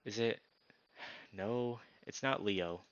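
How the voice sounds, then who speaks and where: Low-voiced man streaming, dull mic. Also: slow pace, clear, resonant tone. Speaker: streamer reading a visual novel aloud. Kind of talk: narration with chat asides.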